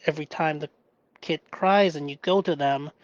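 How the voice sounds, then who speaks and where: A man from Hong Kong whose first language is Cantonese, talking through his past in a halting, reflective monologue, often checking in with "right?". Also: fairly high pitch, highs cut off, a little hiss or hum. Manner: halting, searching for words, often asks "right?". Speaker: vlogger.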